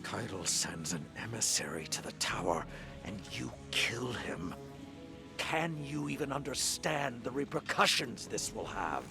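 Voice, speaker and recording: An actor playing a stern, grave old warlord with a gravelly voice in a video game cutscene. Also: male voice, expressive, over music. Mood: angry, reproachful. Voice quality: deep, gravelly. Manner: slow, solemn, weighty delivery.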